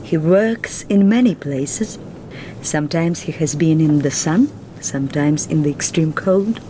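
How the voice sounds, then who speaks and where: A reserved young Russian woman with a Russian accent who speaks in careful, formal phrases. Her voice is low and mellow. Faint rumbling noise sits under the film soundtrack. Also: measured pace, rough recording. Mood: guarded. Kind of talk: film drama dialogue.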